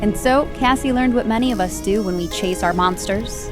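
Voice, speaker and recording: A woman narrating the close of a scripted story in a measured, sincere way as its storyteller. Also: medium pitch, music in the background, lightly acted. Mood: reflective. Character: female storyteller closing the tale with its moral.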